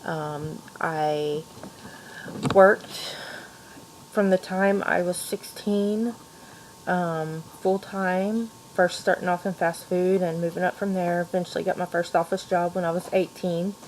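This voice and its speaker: Quiet 30yo woman w a light mixed southern accent, lowish voice, talking into a webcam late at night, lots of ums, faint hiss. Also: steady tone, slow pace, poor audio. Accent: mixed Kentucky and Georgia Southern. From Louisville, Kentucky. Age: 30s. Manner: soft-spoken, frequent ums. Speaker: student vlogger. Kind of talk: personal introduction video. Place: children's playroom at home, late at night.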